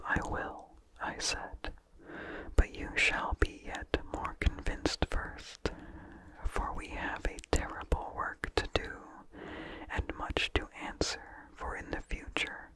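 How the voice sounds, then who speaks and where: A reader whispering a vintage horror story for ASMR in a breathy, unvoiced whisper, with deliberate phrasing, pauses and soft mouth sounds. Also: poor audio.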